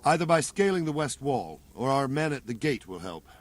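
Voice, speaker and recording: An actor playing a blunt, gruff middle-aged veteran soldier commander in a video game cutscene, speaking in plain earthy soldier's talk. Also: male voice, medium pitch, very animated, a little fast. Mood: briefing.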